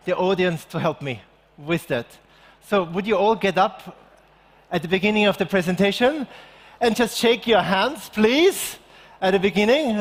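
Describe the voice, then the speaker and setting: A middle-aged man with an Austrian accent, giving a lively keynote on a large conference stage and getting the audience involved. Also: high voice. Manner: animated, engages the audience. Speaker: keynote speaker.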